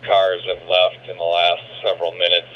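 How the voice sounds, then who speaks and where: A man's voice: low voice, monotone, moderate pace. A man, a police officer, reporting observations in terse, matter-of-fact phrases over radio. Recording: slightly muffled, noisy police radio.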